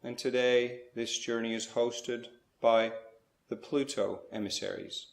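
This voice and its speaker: A man leading a slow guided spiritual meditation, speaking in measured short phrases with pauses between them. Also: mid-range voice, steady tone, vocal fry, echoey room.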